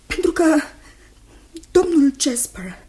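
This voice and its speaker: A woman's voice: high-pitched, very animated, warm tone. An actress in a radio drama playing a frightened but resolute young woman, earnest and theatrical. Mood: anxious.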